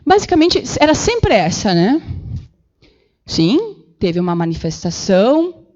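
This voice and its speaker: A woman giving an animated public lecture with slides in a council chamber, acting out the voices she quotes. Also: fairly high pitch, unhurried, muffled recording. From Brazil. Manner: animated, acts out quoted voices, many fillers. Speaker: guest lecturer.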